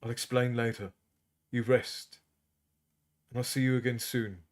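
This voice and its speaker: A man voicing a composed, authoritative prince who speaks calmly and low. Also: normal speed, natural voice. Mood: commanding. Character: composed prince, commanding and wry.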